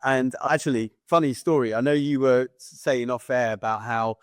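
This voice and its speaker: Man, podcast host, rambling casual chat, mid-pitched voice, dry close sound. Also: normal speed, clean tone, no echo. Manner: chatty, rambling storyteller.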